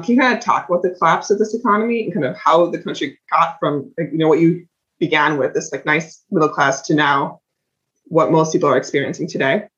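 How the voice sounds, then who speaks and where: A woman's voice: low voice, a bit bright. A young woman hosting a podcast, who introduces her guest and asks questions in a casual manner with many fillers. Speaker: podcast host. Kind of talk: podcast interview. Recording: dull lo-fi audio.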